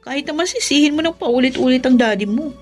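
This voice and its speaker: A woman acting as a frank Filipino confidante in a radio drama. Her voice is high, her delivery pointed and matter-of-fact, over faint music. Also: fast talker, a little mellow, natural voice. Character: frank, sceptical woman confidante of aggrieved man.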